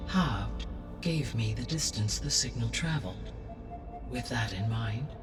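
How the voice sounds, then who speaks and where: A woman playing a composed, learned artificial intelligence in a video game, speaking in a measured, formal and precise way. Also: rumbling low. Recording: distant sound, clear game music behind. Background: music.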